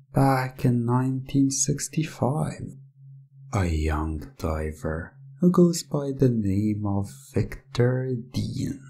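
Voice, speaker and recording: Male actor as smug hypnotist villain for ASMR roleplay; husky, soft delivery with swooping, theatrical, drawn-out phrasing. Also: on the low side, heavily acted. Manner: slow, deliberate, theatrical, condescending, with drawn-out words. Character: smug, menacing male gem owner, hypnotically taunting a caught thief.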